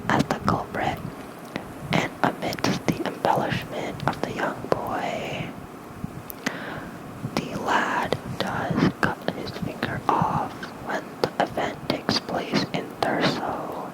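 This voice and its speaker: A person reading aloud in a soft, breathy, gentle whisper for ASMR, close to a fluffy microphone. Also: poor audio. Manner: slow, gentle, hushed reading. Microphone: close microphone with fluffy windscreen.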